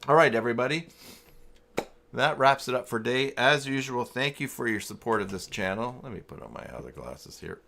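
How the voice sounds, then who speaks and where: A man's voice: medium pitch, lively intonation, fairly quick, gravelly, sharp tone, a little hiss or hum. A man hosting a casual livestream, thinking aloud in a rambling, muttering way. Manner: rambling, muttering through thoughts. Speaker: livestream host.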